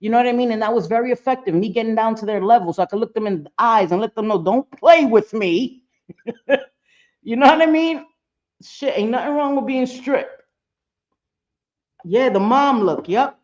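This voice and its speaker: A middle-aged woman with a low voice, giving blunt, profane casual commentary as a livestream host, often laughing. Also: darkish tone, muffled recording.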